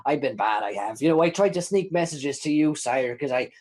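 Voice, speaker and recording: A man's voice: medium pitch, animated, brisk, darkish tone, lightly acted. A man voicing a chatty, cheeky imprisoned informant who rambles and stammers in old-timey folksy phrasing. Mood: rambling.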